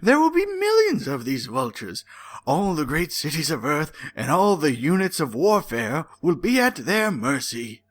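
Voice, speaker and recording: A man's voice: fairly high pitch, wildly swooping pitch, measured pace, exaggerated voice. A man voicing a gloating male villain scientist with a grandiose, mock-dramatic delivery.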